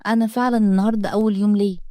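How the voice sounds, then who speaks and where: A woman's voice: medium pitch, steady tone, very fast, warm tone, no room sound, natural voice. A woman voicing a proud young student and nanny with an Egyptian accent, defensive and plainspoken in a storybook reading. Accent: Egyptian Arabic.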